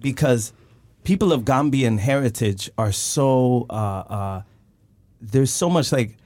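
A man from Sweden hosting an interview, speaking thoughtfully and often pausing to search for words. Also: medium pitch, expressive, darkish tone, dry recording. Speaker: host. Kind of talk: conversational interview.

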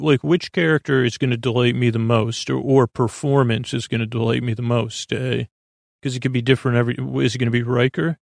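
A man with a gravelly, fry-edged voice of middling pitch rambles as a sleep podcast host. He halts, doubles back and drifts between thoughts, reassuring and undemanding. The sound is close and dry, with no room around it. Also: dry recording. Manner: meandering, halting, trailing off with repeated false starts; reassuring, low-pressure. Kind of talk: rambling, unscripted bedtime podcast monologue.

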